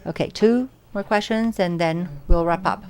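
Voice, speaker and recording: A woman interpreting audience questions live at an academic symposium. She speaks in short, halting phrases and often corrects herself mid-sentence. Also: on the low side, a little mellow. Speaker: simultaneous interpreter.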